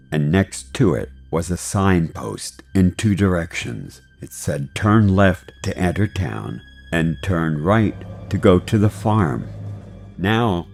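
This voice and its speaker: Deep voiced guy narrating a creepy story, lots of fry, faint music behind. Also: a little mellow. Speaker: narrator of an animated horror story. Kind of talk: scripted first-person scary story narration.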